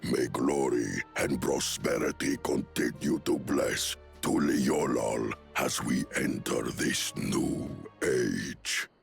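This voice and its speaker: An actor playing a proud giant chieftain in a video game, speaking in a ceremonial, stately way. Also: male voice, very deep voice, slow pace, creaky, few pauses, faint music behind, exaggerated voice.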